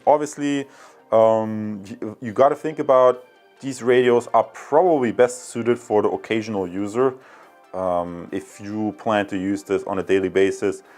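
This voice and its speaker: Man, deepish voice, informal review style, chatty, explanatory, rambling, faint background music. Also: darkish tone. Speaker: product reviewer, channel host. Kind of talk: informal product review to camera.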